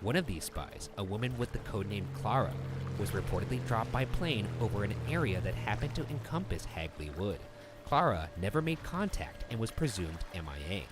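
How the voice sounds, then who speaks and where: A man reading a scripted mystery narration in a measured, storytelling delivery. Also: lowish voice, sing-song. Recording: narration over background music.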